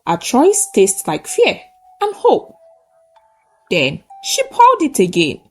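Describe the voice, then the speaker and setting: A woman narrating a scripted folktale in short, measured, dramatic phrases. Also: medium pitch, huge pitch swings, husky, soft background music. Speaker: storyteller.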